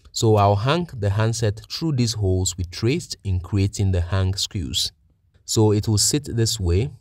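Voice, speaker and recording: Male tutorial narrator, lower register, plain-spoken technician delivering orderly instructions, recorded dry. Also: darkish tone, dry recording. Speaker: technician presenting a how-to tutorial.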